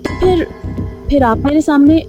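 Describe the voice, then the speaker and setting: A woman's voice: high-pitched, a little slow. A woman playing a kind-hearted, determined village mother in a Hindi cartoon story, earnest and emotional. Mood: shaken. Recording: faint music behind the voice.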